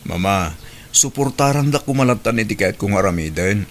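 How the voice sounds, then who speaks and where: A man's voice: fairly low pitch, animated, brisk, natural voice. A man playing an earnest, polite young man in an audio drama, pleading for his girlfriend.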